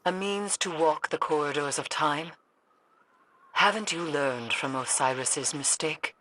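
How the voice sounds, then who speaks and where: A woman's voice: sits low, measured pace, fairly bright tone, slight background noise. An actress playing a stern, aloof female mystic in a video game, dry and knowing in manner. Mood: disdainful. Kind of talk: video game cutscene voice acting.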